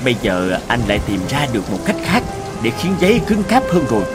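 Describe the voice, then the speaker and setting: A man's voice: mid-range voice, wildly swooping pitch, a little fast. A man playing an eager, inventive middle-aged husband in a cartoon, musing expressively. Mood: excited, hopeful. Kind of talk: cartoon dubbing. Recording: clear music and rain behind.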